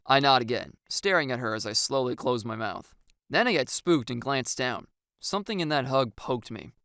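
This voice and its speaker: An audiobook narrator tells a first-person story and acts out the lines with wry expression. The voice has a noticeable creak and sits close, with no room sound around it. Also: medium pitch, normal speed, a bit bright, dry recording.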